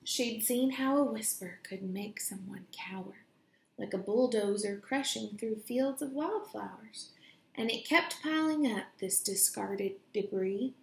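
Slow-reading young woman, slightly gravelly. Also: medium pitch. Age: young adult. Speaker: picture book reader. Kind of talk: children's read-aloud storytelling. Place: indoors in a room at home. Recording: roomy home echo.

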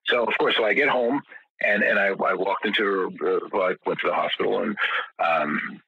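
Husky, low-voiced man telling stories. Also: fairly quick, pushed and bright, dry recording, dull audio. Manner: rambling, many restarts and repeated words. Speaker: guest musician. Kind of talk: casual interview storytelling.

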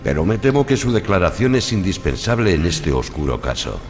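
A man's voice: sits low, big pitch swings, a little fast, soft background music. An actor playing a stern, formal judge in an audio drama, a man. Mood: formal, probing. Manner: formal, authoritative. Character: stern, formal male judge questioning in court.

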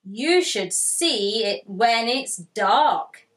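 Woman voicing an excitable young boy tiger, drawing words out. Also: fairly high pitch, full character voice. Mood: boastful. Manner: emphatic, drawn-out words. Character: excitable young male toy-like tiger, boastful yet nervous. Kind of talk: children's storybook character read aloud.

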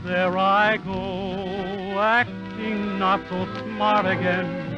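A man crooning a slow romantic ballad in a high tenor over an orchestra, from a 1940s radio show. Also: little pitch movement, over music. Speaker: singer and comedy sidekick. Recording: dull 1940s radio broadcast.

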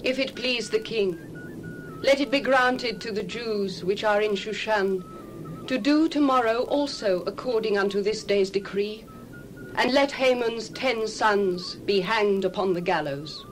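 A woman's voice: medium pitch, a little slow, faint music behind, close to an everyday voice, rough recording. An actress playing a respectful, resolute young queen in a Bible audio drama, formal and courtly.

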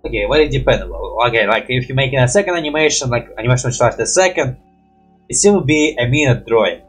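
A man's voice: medium pitch, a bit bright, breathy edge, low-quality recording. Unclear-voiced young man, rambling reaction commentary. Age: young adult. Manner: rambling, hesitant, broken non-native English phrasing; habitual mild swearing. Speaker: reaction video host. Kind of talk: casual reaction commentary to animations. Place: small room at home.

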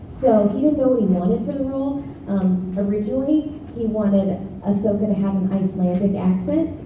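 A woman's voice: medium pitch, normal speed, noisy background. A woman voice actor telling self-mocking anecdotes on a convention panel, with fillers and restarts. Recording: echoey hall from far off, muffled.

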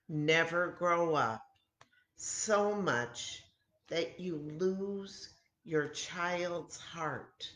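Slow-talking older woman teaching children. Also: low voice. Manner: expressive, simple phrasing addressed to children, with pauses. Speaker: children's story time host and Bible teacher. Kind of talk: storytelling and craft lesson for young children. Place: room at home beside a kitchen, indoors. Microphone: laptop or webcam mic at arm's length. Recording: roomy, echoey home webcam sound.

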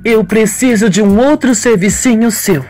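A man's voice: high-pitched, normal speed, darkish tone, soft background music, pitched above the performer's own voice, close to an everyday voice. A man voicing a scheming young woman who plays innocent, coy and slangy, in an audio soap opera.

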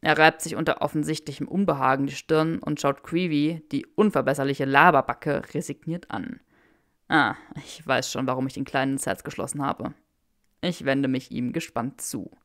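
Low, gravelly woman reading a story. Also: fairly even intonation, moderate pace. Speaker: audiobook reader. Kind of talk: scripted first-person narration.

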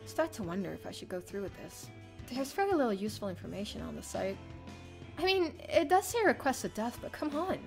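A young woman streamer reading a visual novel aloud in an expressive, theatrical first-person narration. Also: a little high, a little fast, vocal fry. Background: soft game music.

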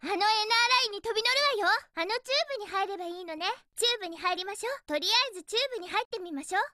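A woman playing a perky, feisty young female hedgehog heroine in a Japanese video game, girlish, energetic and bossy. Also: extremely high pitch, rapid-fire, very noisy. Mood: upbeat, determined.

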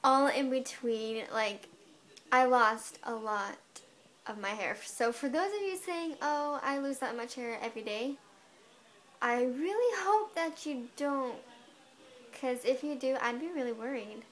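A young woman vlogging to her webcam from her bedroom, with a high, girlish voice, chatty and a bit defensive, saying "like" a lot. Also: animated, measured pace. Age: young adult. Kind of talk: casual to-camera explanation. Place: bedroom, indoors. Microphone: webcam at desk.

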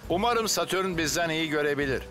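A man's voice: fairly high pitch, expressive, a little fast, a bit bright. A man voicing a brave, reassuring firefighter in charge, in a children's cartoon dub. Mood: urgent, commanding. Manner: commanding, reassuring.

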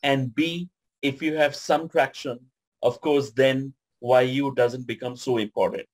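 A man on a video call giving polite feedback on a pitch and asking structured questions. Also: steady tone, a little slow. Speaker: panelist. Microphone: video call webcam.

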